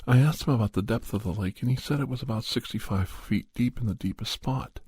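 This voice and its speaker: A man playing a serious doctor, telling a horror story in a husky voice with deliberate, halting pauses for an ASMR podcast. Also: medium pitch, a little mellow, dry recording. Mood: measured, suspenseful. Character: male doctor recounting a creature hunt, measured and serious. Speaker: storyteller narrator.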